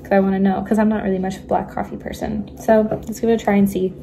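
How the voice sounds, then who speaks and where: A young woman chats casually into a phone propped on her kitchen counter late at night, giggling and often sinking to a hushed, near-whispered voice. Her tone is warm and mellow rather than bright. A faint hum of noise sits behind her. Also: mid-range voice, flat delivery, fast talker. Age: young adult. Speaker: vlogger. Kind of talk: casual cooking vlog chat. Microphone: phone camera on the counter.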